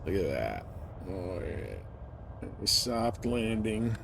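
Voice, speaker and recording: A man's voice: lowish voice, very animated, takes it slowly, vocal fry, noisy background. A man chatting casually as he live streams a game. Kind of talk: casual gaming stream commentary.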